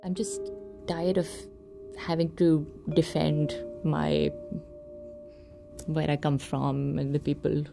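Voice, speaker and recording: A woman's voice: sits low, flat delivery, slow pace, vocal fry, long pauses, over music. A woman from Pakistan answering interview questions earnestly in a studio, now and then laughing lightly.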